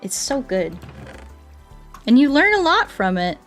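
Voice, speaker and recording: Expressive woman chatting over faint music. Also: high voice, unhurried. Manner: expressive, playful, acts out quoted dialogue. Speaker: game streamer chatting with viewers. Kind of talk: casual chat, storytelling.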